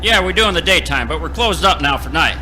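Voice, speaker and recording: An actor playing a gruff, irritable roadside stand owner in a radio play, loud and curt. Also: male voice, on the high side, lively intonation, quick pace, slight background noise. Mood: irritated, suspicious. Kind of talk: radio play character acting. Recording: stage radio play with music.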